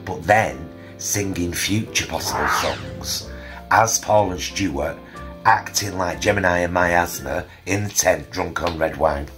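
Middle-aged Northern English man, very low voice, homely and affectionate food vlogger, music behind. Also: a little slow. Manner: affectionate, homely, addresses viewers as loves. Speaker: vlog host. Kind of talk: casual chatty food vlog narration.

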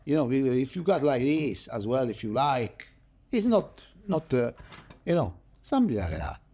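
Slow-talking Italian man cooking at home. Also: medium pitch, husky. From Italy. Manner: relaxed, chatty, non-native English phrasing. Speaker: cooking show host. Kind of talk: casual cooking demonstration. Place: home kitchen, indoors.

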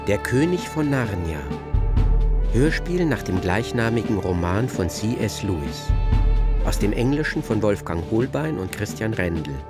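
A man's voice: on the low side, very animated, a little slow. A man reading scripted title and credit announcements. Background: loud music.

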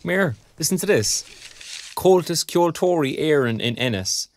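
An actor playing a frank, sardonic young Irish graduate in an audio drama, speaking colloquially. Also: male voice, on the high side, expressive, moderate pace, background noise. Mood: wry, teasing. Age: young adult. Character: young underemployed arts graduate, frank and wry. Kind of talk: naturalistic audio drama dialogue.

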